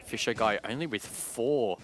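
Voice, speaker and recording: A man giving tactical color commentary on a competitive shooter match, steady and detailed. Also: medium pitch, normal speed, noisy background. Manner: steady, detailed tactical explanation with occasional self-corrections. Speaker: esports analyst caster. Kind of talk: analytical esports color commentary.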